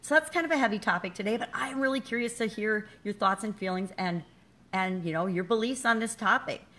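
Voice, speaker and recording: A woman's voice: medium pitch, brisk, a bit bright. Middle-aged woman, business-coach vlogger, candid and self-deprecating, conversational. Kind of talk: casual motivational talk to camera.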